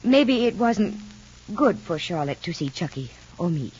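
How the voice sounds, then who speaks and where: A muffled old radio recording of an anxious, probing older matriarch in a 1950s soap. The woman's voice is husky and rises and falls expressively. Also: lowish voice, very animated, unhurried, darkish tone, full character voice. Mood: worried, hurt. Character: worried, probing older matriarch, easily hurt.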